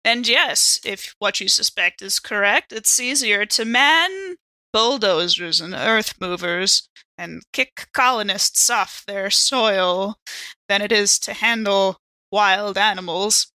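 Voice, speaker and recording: A woman playing a guarded, defiant female ex-convict in a tabletop game, speaking in a dry, measured way. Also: medium pitch, sharp tone, no echo. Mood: wary, dry. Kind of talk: improvised tabletop roleplay.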